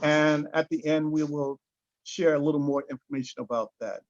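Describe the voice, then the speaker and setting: A man giving hesitant, halting opening announcements as an event coordinator over a lo-fi video call. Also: a little high, fairly even intonation. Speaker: workshop coordinator introducing the event. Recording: dull lo-fi video call audio.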